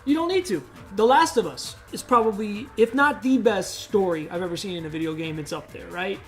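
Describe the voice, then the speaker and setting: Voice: medium pitch, fairly quick. A podcast co-host gives casual, opinionated talk in a mid-range voice. The delivery is emphatic and slangy, with some swearing, and music plays faintly behind it.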